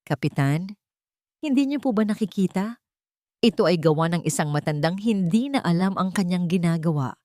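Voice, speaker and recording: A woman voicing a greedy, contemptuous daughter-in-law in a dramatic story, sharp and emphatic. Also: lowish voice, expressive, moderate pace, no echo, studio quality, close to an everyday voice. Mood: outraged.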